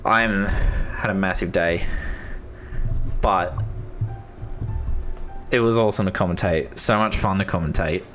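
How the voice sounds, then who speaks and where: Hoarse young Australian race commentator. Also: male voice, low voice, measured pace, long pauses, fairly bright tone, soft background music. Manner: enthusiastic, repeats praise, casual slang. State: hoarse, losing his voice.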